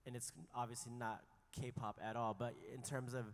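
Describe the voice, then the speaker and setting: A man's voice: medium pitch, little pitch movement, normal speed. A man moderating a panel, asking questions in a casual, rambling way. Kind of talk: casual unscripted interviewing. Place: hall at a music conference panel. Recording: echoey hall with long reverb.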